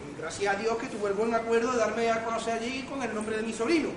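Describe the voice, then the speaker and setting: Scheming farce uncle male actor, emphatic and quick, amateur radio play, noisy echoey room with crowd murmur. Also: very high voice, far from the mic. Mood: confiding, anxious. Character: bossy, scheming two-faced uncle, a gentleman in farce. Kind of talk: amateur radio comedy acting.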